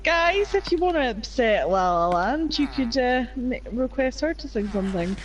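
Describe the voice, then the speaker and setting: A woman's voice: high-pitched, big pitch swings, normal speed, low-quality recording. A woman co-hosting a live game stream, teasing her partner and egging on the viewers. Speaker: stream co-host. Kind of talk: casual live-stream chat.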